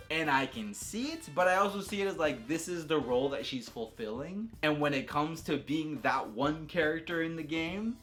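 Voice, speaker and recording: A man's voice: a little high, a bit bright. A man giving casual, unscripted opinions as a video creator, talking in an enthusiastic, slangy way and repeating phrases for emphasis. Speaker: video creator reviewing a game.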